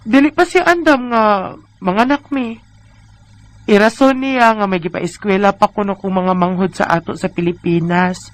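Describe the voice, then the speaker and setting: A woman's voice: medium pitch, normal speed, natural voice. A woman in her thirties with a Bisaya Filipino accent, playing a weary, discontented wife in a plaintive, sighing way for a radio drama. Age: 30s. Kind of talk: Bisaya radio drama acting and letter narration.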